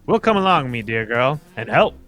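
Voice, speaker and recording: A man's voice: mid-range voice, very animated, moderate pace, fairly bright tone, soft background music. A man playing a genial, quaint detective sidekick in a radio play, with stagy old-chap phrasing. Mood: genial, curious. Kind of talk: radio play table read.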